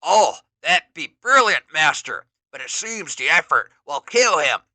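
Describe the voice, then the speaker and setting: A man's voice: fairly high pitch, very animated, measured pace, bright, forceful tone, dry recording, heavily acted. A man voicing a servile, beastly male henchman with old-fashioned speech.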